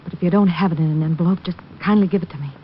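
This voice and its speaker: Young showgirl, played by a woman, low-toned, rapid and demanding, muffled vintage radio recording. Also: fairly even intonation, a little hiss or hum, lightly acted. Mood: impatient, suspicious. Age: 20s. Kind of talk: 1940s radio crime drama acting.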